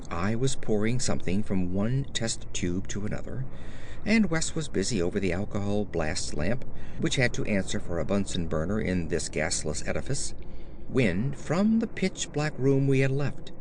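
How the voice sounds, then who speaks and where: A man giving a theatrical, grave first-person reading of gothic horror fiction as an audiobook narrator, with deliberate emphasis. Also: fairly low pitch, slight background noise.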